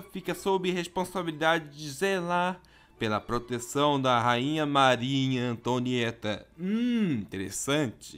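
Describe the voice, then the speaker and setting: Brazilian man, higher-pitched, casual commentary. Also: a bit bright. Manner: informal, slangy. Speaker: host of a manga commentary show.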